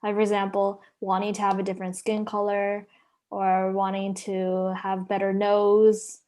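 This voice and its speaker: A woman's voice: mid-range voice, monotone, unhurried, warm tone. A teenage girl co-hosting a podcast, talking casually with many "like" and "um" fillers. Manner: hesitant, heavy "like" and "um" fillers. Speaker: podcast co-host. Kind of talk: casual chat.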